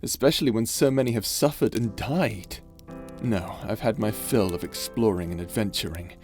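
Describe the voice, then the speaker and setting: A man playing a tender, weary space pirate in an intimate ASMR roleplay, speaking softly and hesitantly over music. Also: on the low side, normal speed, close to an everyday voice. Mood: tender, uneasy. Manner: soft, hesitant, confiding.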